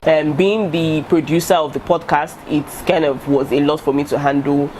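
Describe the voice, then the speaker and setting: A woman's voice: deep voice, steady tone, normal speed, a little mellow, slight background noise, poor audio. A young woman with a Nigerian accent and Yoruba as her first language, talking candidly to camera in a casual vlog with fillers and restarts. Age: young adult. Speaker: YouTuber. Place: indoor room in front of a striped curtain. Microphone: camera in front at head-and-shoulders framing.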